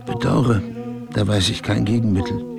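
An actor playing an intense, earnest husband in a radio drama, speaking intimately and deliberately with a husky, gravelly voice. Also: male voice, on the low side, fairly even intonation, over loud music. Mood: wry. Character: confessing, intense husband pleading for his wife's love.

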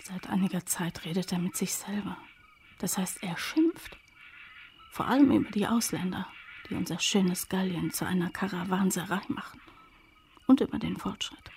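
A woman's voice: lowish voice, normal speed, darkish tone, slight background noise, close to an everyday voice. An actress playing a bitter, blunt woman of a poor rural household, complaining sardonically in a radio drama. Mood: muttering resentment. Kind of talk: German radio drama acting.

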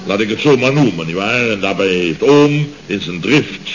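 An actor playing a blustering, pompous middle-aged boss of a building firm in a radio comedy serial, stammering and repeating himself when flustered. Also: male voice, lowish voice, animated, normal speed, soft background music, natural voice. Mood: defensive. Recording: noisy, dull vintage radio recording.

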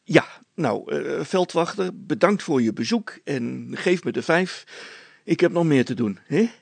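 A man's voice: on the high side, animated, unhurried, creaky, no echo, voice pushed up, exaggerated voice. A man voicing a wily, grumpy workman in a storybook, blunt and prone to stammered excuses. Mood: hurried. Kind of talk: storybook character acting.

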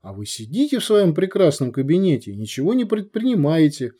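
A man's voice: on the high side, sing-song, a little fast, mellow, husky, voice pushed up. A man voicing Mikhar, a greedy, indignant male shas trader with a pompous, grumbling manner.

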